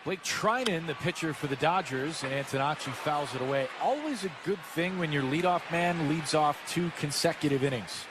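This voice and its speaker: Man, American accent, higher-set voice, baseball radio commentary, clear ballpark noise behind. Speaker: baseball radio play-by-play announcer. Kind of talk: live sports commentary. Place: stadium broadcast booth. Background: ballpark ambience.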